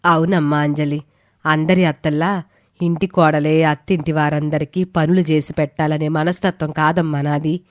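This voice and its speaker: A woman's voice: sits low, level tone, normal speed, no room sound, studio quality, lightly acted. A woman playing a supportive middle-aged mother-in-law in a story, reassuring and motherly.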